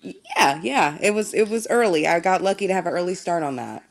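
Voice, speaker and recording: A young woman from Indiana with a low voice and a slight rasp answers interview questions. She tells her story with an easy, storytelling flow, often breaking into laughs. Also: animated, a little fast. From Indiana, USA. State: slightly raspy voice. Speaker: TV news anchor, guest.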